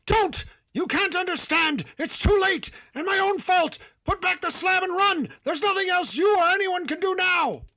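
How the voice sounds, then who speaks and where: A man voicing a terrified man trapped underground, yelling frantic, strained warnings from a distance in a horror story reading. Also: very high voice, fairly even intonation, slow, slightly rough, dry recording, pitched above the performer's own voice, full character voice. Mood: frantic terror.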